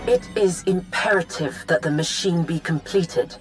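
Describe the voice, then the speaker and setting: Woman voicing secretive sith researcher lady, lowish voice, very grave n formal, video game monologue w music behind. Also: natural voice, rough recording. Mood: grave, resolute. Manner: measured, formal, portentous. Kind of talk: scripted game voice acting, solemn monologue.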